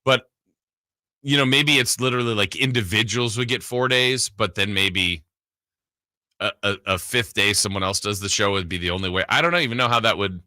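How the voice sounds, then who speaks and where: A man's voice: on the low side, normal speed, sharp tone, no room sound. A man hosting a sports radio show, chatting casually in a rambling, conversational way close to the mic. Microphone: close studio broadcast mic.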